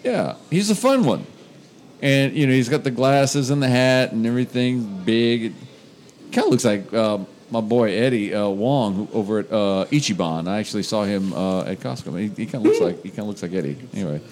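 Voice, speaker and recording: A man's voice: lowish voice, animated, fairly quick, a little mellow. A man co-hosting a podcast in casual chat, rambling with many uh's and restarts. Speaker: podcast co-host.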